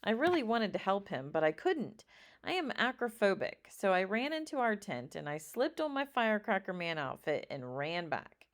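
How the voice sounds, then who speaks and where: A woman reading a children's story aloud expressively, with dramatic drawn-out emphasis. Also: medium pitch, very animated. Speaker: storybook reader.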